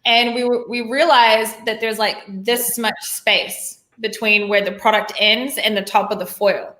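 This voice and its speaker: A chatty woman from New hosts a casual interview. She rambles and corrects herself as she goes, in a slightly high voice. The room around her sounds echoey. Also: steady tone, measured pace, sharp tone. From New Zealand. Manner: talkative, rambling, self-correcting. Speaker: host.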